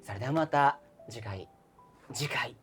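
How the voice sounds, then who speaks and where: A woman hosting a talk show in a polite, casual, gently teasing way. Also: very deep voice, unhurried, faint music behind. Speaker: talk show main host.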